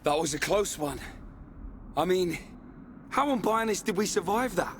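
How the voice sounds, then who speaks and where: A man playing an excitable young fighter in a video game, casual and exclamatory. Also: sits high, a little slow, slight background noise. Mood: astonished. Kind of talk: video game cutscene acting.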